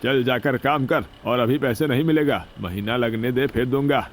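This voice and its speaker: A man's voice: medium pitch, moderate pace, slight background noise, lightly acted. A man voicing a cruel, pompous rich mill owner in a story cartoon, talking in a bossy, taunting way. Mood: scornful, angry. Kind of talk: Hindi moral-story cartoon dubbing.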